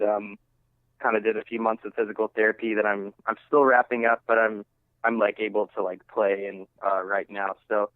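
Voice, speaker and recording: A man with a fairly low voice talks casually in an interview, heard through a narrow, phone-like line. His delivery is halting and conversational, peppered with "like" and "you know", and the sound is dry. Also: dry recording. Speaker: interviewed musician.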